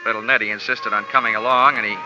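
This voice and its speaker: A man's voice: fairly low pitch, fairly even intonation, quick pace, natural voice. An actor playing a wisecracking male insurance investigator who also narrates, dry and matter-of-fact. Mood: wry. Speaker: narrator and lead. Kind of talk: 1950s radio drama acting and narration. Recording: lo-fi old radio broadcast with music.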